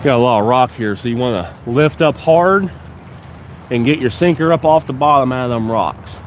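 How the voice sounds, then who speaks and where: A man's voice: mid-range voice, normal speed, a little hiss or hum. Stuffy-sounding congested man fishing. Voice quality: stuffy, nasal. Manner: relaxed, chatty, talks to viewers while working. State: congested from a cold. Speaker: fishing vlogger. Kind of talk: casual outdoor fishing vlog. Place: outdoors by the water.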